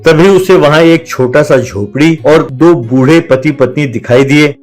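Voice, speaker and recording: A male narrator tells a scripted story. His voice is a bit higher than most men's, and faint music runs underneath. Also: unhurried, a little mellow.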